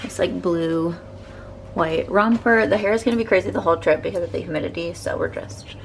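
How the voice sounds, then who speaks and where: A young woman records a casual travel vlog on her phone, chatty and a little tired but upbeat. Her voice carries a slight gravelly fry. A faint hiss of background noise sits under her words. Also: fairly low pitch, a little fast, low-quality recording. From United States. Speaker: travel vlogger.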